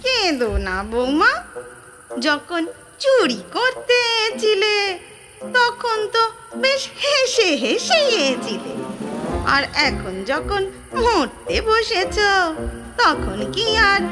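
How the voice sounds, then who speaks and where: A woman's voice: very high-pitched, sing-song, extremely slow, pauses often, full character voice. A woman playing a mocking, menacing female ghost with a nasal whine and taunting drawl in an audio ghost story. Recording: loud music under the voice.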